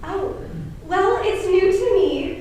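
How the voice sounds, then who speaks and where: A woman acting a fussy aunt, very high-voiced. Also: lively intonation, takes it slowly, a little mellow, full character voice. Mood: sugary, coaxing. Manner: theatrical, chatty, insistent. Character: fussy, nagging, genteel aunt and exasperated wife. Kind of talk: amateur stage play acting. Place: stage of a school performance space. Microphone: distant camera microphone. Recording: very echoey, distant stage recording with noise.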